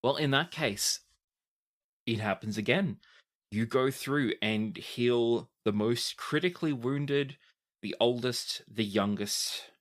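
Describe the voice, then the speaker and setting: A man running a tabletop game as game master, describing scenes in a deliberate, dramatic way. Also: lowish voice, fairly bright tone.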